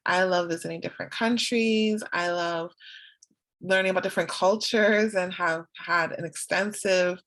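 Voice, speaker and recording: A woman's voice: on the low side, fairly even intonation, moderate pace, a bit bright. A young woman, chatting as a guest in a casual interview, laughing often and acting out her own quotes. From Canada.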